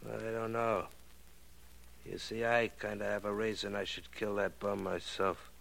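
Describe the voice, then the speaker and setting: A low, creaky-voiced New gangster boss in forties radio drama style. He talks wry, roundabout underworld slang with cool menace, like a businessman selling rough work. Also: little pitch movement, measured pace, fairly bright tone, exaggerated voice, low-quality recording. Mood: coolly menacing. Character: slangy male racketeer boss, coolly menacing hired killer. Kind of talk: 1940s radio crime drama acting.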